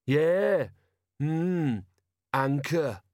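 A man doing a slow-witted, halting big constable. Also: fairly high pitch, expressive, dry recording, clean studio sound, pitched above the performer's own voice. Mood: evasive musing. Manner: halting, dim, musing, trailing pauses.

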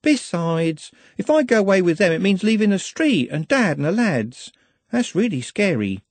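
A woman's voice: fairly low pitch, big pitch swings, normal speed, dry recording, pitched above the performer's own voice. A man voicing a chatty, eager young working-class woman who rambles in long run-on sentences. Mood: earnest rambling.

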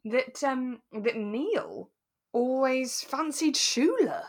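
A woman's voice: high voice, expressive, slow. A woman co-hosting a podcast, casually recapping a radio drama in a playful, amused way. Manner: playful, amused, chuckling often. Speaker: podcast co-host.

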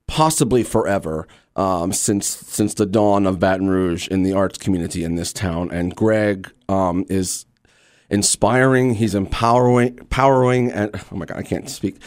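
Middle-aged male interview guest: low voice, dry recording, effusive and emphatic. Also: normal speed, dry recording. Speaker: guest, actor and theater teacher. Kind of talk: interview conversation.

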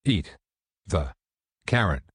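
An actor playing a serenely instructive male guide in an audio drama, addressing the listener deliberately. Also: sits low, big pitch swings, fairly bright tone, husky, dry recording, studio quality, exaggerated voice. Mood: serene, coaxing. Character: soothing male narrator-guide preaching organic living.

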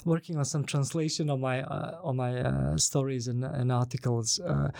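A man's voice: mid-range voice, fairly even intonation, normal speed, creaky, darkish tone, dry recording. A man with a Bosnian accent, a native Bosnian speaker, answers as an interviewed author in a conversational way, hesitant and often repeating words as he thinks.

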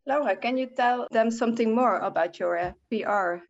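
A woman's voice: fairly high pitch, normal speed, gravelly, dull audio, a little hiss or hum. A woman hosting a casual podcast chat, friendly, with some fillers and hesitations. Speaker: podcast host.

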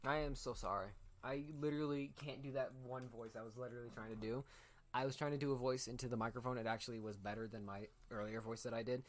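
Young male co-host, rambling casual chat with loud outbursts, shared desk mic. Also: medium pitch, steady tone, quick pace. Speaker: podcast co-host. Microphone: shared desk microphone.

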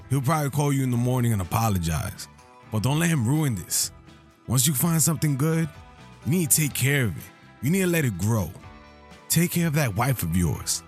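A male actor plays an older father in an audio drama. He speaks firmly and protectively in a mid-pitched voice, like a parent giving advice, with faint music behind. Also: lightly acted. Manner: fatherly, firm, advising. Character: firm, caring older father of the groom.

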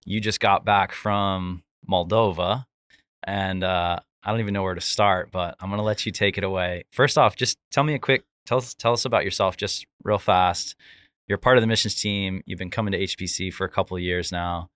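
A man's voice: sits low, steady tone, moderate pace, fairly bright tone, smooth, no room sound. A man hosting a casual church interview as missions director, asking rambling questions and chiming in with encouraging words.

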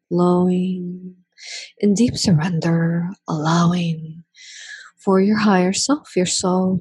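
A woman leads a guided meditation very slowly, in a soft and very breathy voice. Her tone is mellow and hushed, with soothing, repeated phrases and long pauses between them. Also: fairly low pitch, no echo, low-quality recording.

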